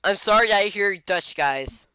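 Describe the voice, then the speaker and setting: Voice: medium pitch, lively intonation, a little slow, sharp tone, clean tone, no echo. A playful, giggly podcast host chatting casually and teasing friends in a group voice call.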